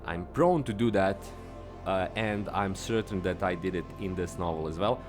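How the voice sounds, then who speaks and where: A man with a Serbian accent, a native Serbian speaker, hosting his own podcast in an upbeat, earnest monologue that jumps up in pitch on stressed words. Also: moderate pace, over music. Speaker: podcast host.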